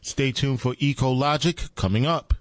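A man's voice: mid-range voice, normal speed, no room sound. Plain male radio announcer, dry sound. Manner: plain, correcting himself. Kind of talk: station announcement.

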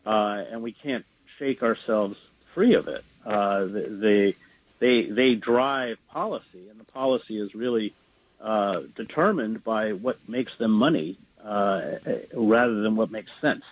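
Husky low-voiced man, earnest and halting. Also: no echo, muffled recording. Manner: earnest, halting with many fillers. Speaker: guest, journalist. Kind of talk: political interview.

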